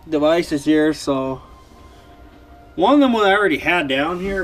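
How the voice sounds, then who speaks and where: Young male hobby vlogger, slightly high voice, informal rambling tour narration, some music behind him. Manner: informal, rambling, addresses viewers as guys. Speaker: hobbyist video host. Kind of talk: casual show-and-tell walkthrough.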